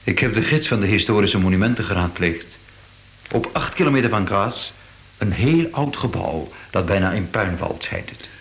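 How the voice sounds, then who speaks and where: An actor playing a courteous, intrigued historic monuments official in a radio play, lightly gallant in manner. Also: male voice, on the low side, animated, moderate pace, a bit bright. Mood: informative, intrigued. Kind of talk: Dutch-language radio play acting. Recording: hissy old radio play recording.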